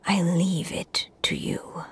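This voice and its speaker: A woman voicing a gentle, loving storyteller in a video game, with a soft, breathy, tender delivery. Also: lowish voice, takes it slowly, whispered. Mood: tender, sorrowful. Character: gentle, loving woman storyteller, short-lived creator of a child.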